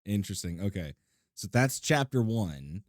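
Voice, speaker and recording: A man with a hoarse, raspy voice giving chatty, excitable commentary while playing a video game. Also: lowish voice, normal speed, no room sound, clean studio sound. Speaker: let's play gamer. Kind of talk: casual gameplay commentary.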